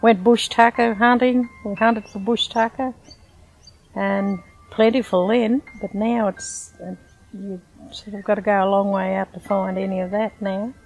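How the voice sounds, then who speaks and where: A woman's voice: mid-range voice, level tone, measured pace, faint music behind, poor audio. An older woman with an Australian accent, reminiscing chattily about growing up in the bush. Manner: chatty, warm storytelling with "you know". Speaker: interviewee, local resident. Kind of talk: casual reminiscing.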